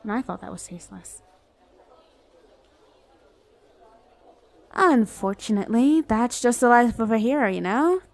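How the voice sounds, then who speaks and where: A woman's voice: a little high, lively intonation, fairly quick, vocal fry, darkish tone. A woman playing a flirty, self-justifying villain in an ASMR roleplay, talking in a casual, playful, sardonic way. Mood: teasing, amused. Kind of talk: acted ASMR roleplay monologue.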